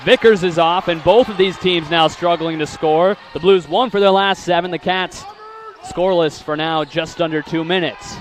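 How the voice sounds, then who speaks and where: Energetic male basketball play-by-play announcer. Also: high voice, slight background noise. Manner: rapid calls rising loud on big plays, steady stat rundowns.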